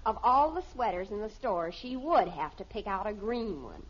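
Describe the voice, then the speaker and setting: A woman's voice: mid-range voice, very animated, moderate pace, breathy edge. A woman playing a dramatic, disapproving American teenage daughter in a radio sitcom. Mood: sulky. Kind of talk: 1950s radio sitcom acting. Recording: muffled old radio recording.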